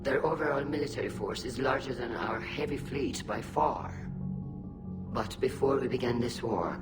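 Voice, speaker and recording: A dutiful, unapologetic alien fleet admiral from a video game voiced by a man. He talks in a firm, formal military way at a middling pitch. Clear music runs behind him. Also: moderate pace, close to an everyday voice. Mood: firm, resolute.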